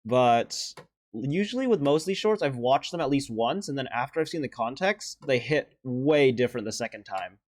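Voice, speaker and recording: A young man with a deeper voice giving casual, earnest and emotional commentary as he ranks favorite animated shorts, with sighs and restarts. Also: moderate pace, no room sound. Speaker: video creator ranking animated shorts.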